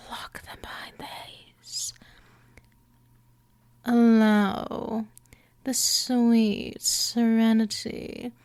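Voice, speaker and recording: A gentle, dominant female vampire, acted by a woman in an ASMR hypnosis roleplay. She speaks very slowly at a medium pitch, soothing and teasing her prey, and often drops into a breathy whisper. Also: natural voice.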